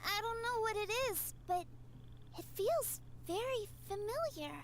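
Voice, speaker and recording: A woman's voice: extremely high pitch, animated, measured pace, a little hiss or hum. An eager young running girl. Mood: earnest. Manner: earnest, heartfelt. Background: game music.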